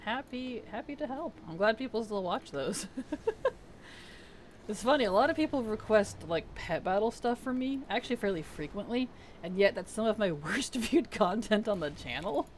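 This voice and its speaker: Live streamer, mid-pitched, strained and weak-voiced, chatty and soft-spoken, reads chat aloud. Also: animated, normal speed, a little hiss or hum. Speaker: game streamer. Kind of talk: casual live-stream chat while playing.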